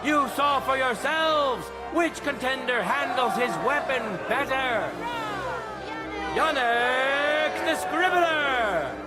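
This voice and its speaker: A man's voice: very high-pitched, expressive, slow pace, exaggerated voice. A man playing a proud medieval tournament herald in a video game, proclaiming ceremoniously and projecting to a crowd. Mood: rousing, dramatic. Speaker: tournament announcer. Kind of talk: theatrical game voice acting, public proclamation. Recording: loud music and crowd behind voice.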